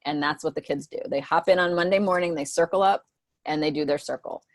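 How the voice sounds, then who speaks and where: A woman guides a group of teachers through a presentation over a video call, in a lowish voice. She talks conversationally and keeps checking in with "right?" asides. The sound is dry and close. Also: quick pace, dry recording. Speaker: school mentor presenting to teachers.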